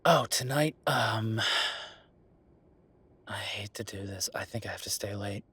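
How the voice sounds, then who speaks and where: A man's voice: fairly low pitch, slow, bright, forceful tone, husky, full character voice. A man playing an affectionate, teasing werewolf bureaucrat in an intimate romantic audio roleplay, speaking conversationally with murmured asides. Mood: reluctant, weary. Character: Viktor, affectionate teasing male werewolf bureaucrat, weary but playful.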